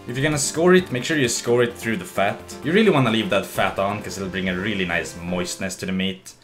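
A young man narrating a casual cooking video in a relaxed, joking way, with fillers. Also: fairly low pitch. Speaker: cooking show host. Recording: voice-over with clear background music.

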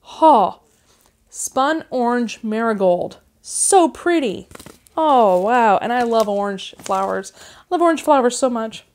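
A woman in her thirties chatting casually at home, gushing with excitement as she unboxes and reads out seed packets. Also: fairly high pitch, slow. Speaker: gardening vlogger. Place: living room at home, indoors.

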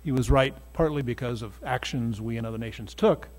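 A man's voice: medium pitch, brisk. A man closing his remarks on a conference panel in a wry storytelling manner. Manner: wry storytelling, quoting himself. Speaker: panel speaker.

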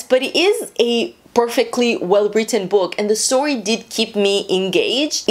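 A lively woman in her thirties talks casually to the camera about her reading, voice at a middling pitch. She gestures as she goes and swears now and then, recorded on a camera set up in front of her in a small room. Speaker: book vlogger. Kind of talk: casual book review chat.

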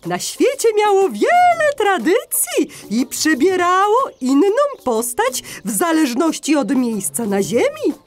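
A very high, wildly swooping parrot schoolteacher, cheerful and instructive. She stretches her words slowly and theatrically, as in a children's audio play. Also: huge pitch swings, full character voice. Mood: explaining. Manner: theatrical, drawn-out, sing-song emphasis. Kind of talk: children's audio drama acting.

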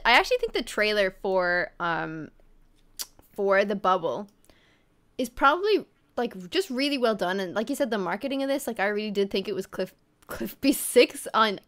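A woman's voice: medium pitch, fairly bright tone. A young woman co-hosting a casual livestream chat, giggly and chatty, on a headset mic at home. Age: young adult. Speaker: co-host. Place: home room.

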